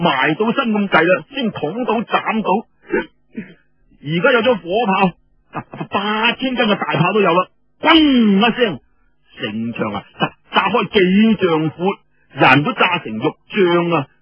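Man acting brash drunken young warrior, swooping high. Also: big pitch swings, a little slow, slightly rough, no room sound, lo-fi sound, exaggerated voice. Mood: boastful, carefree. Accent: Cantonese. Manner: stammering, blustering, exclaiming. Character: drunk, brash, devil-may-care young male rebel warrior.

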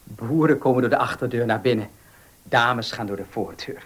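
An actor playing an earnest, proud young farmer in a radio play, husky and plain-spoken, with emotional delivery. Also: male voice, mid-range voice, moderate pace, background noise, close to an everyday voice. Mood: eager, courting. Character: earnest young horse-loving farmer, proud, short of money. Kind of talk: Dutch radio play acting.

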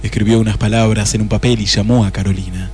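Casting: male actor as deadpan storyteller for comic detective radio play, slightly low voice. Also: fast talker, slight background noise, natural voice. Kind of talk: scripted comic noir narration.

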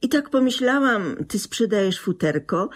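A woman's voice: mid-range voice, lively intonation, moderate pace, natural voice. An actress playing a nonchalant, self-absorbed middle-aged widow and mother in a radio drama, with theatrical delivery. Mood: breezy, chatty. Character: cool, self-absorbed new widow, mother of a grown son. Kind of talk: Polish radio drama acting.

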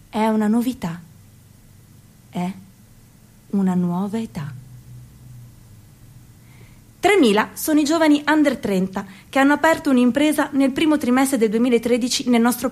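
A young woman performing a theatrical monologue for a radio documentary. She is slow and dramatic, drops to whispers and breaks into sudden cries. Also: sits high. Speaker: narrator and performer.

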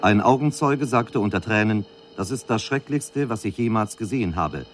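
A man's voice: on the low side, animated, normal speed, a little hiss or hum, lightly acted. An actor playing a male news reporter who delivers a factual, formal bulletin in a radio drama. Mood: sober. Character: male news reporter soberly announcing a city disaster.

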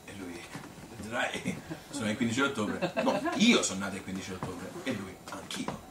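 A man's voice: sits low, big pitch swings, moderate pace. Man playing a chatty Tuscan woman, echoey distant recording. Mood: fond, insistent. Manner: rambling, folksy, filler-laden. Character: chatty, self-amused Tuscan woman reminiscing about courtship. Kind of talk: theatrical monologue, colloquial storytelling. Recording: echoey room, distant mic, hissy noise.

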